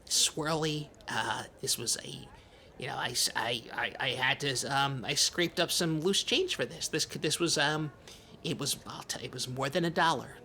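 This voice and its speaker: A man's voice: medium pitch, moderate pace, pushed and bright. A man playing a timid, childlike small-town podcast host who rambles and stammers in improvised comedy banter. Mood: earnest, halting.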